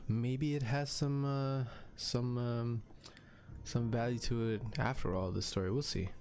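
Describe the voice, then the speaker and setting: A man's voice: medium pitch, steady tone, a little slow, darkish tone. A man doing casual let's play commentary as a game streamer, thinking aloud in a hesitant way.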